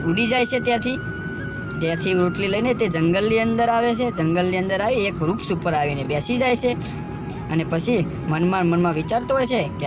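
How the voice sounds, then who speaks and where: A man narrating an animal fable for children as a storyteller. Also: high voice.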